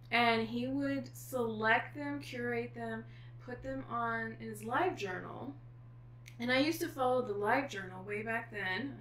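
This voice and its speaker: A middle-aged woman guest author chatting casually, telling stories in an animated way with thoughtful pauses. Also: fairly high pitch. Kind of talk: casual chat. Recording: echoey room with faint noise.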